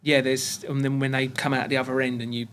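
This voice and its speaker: A man's voice: medium pitch, little pitch movement, a little fast, fairly bright tone, a little hiss or hum. A British man, a bricklaying lecturer, talking keenly about teaching in a casual podcast chat. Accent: British English.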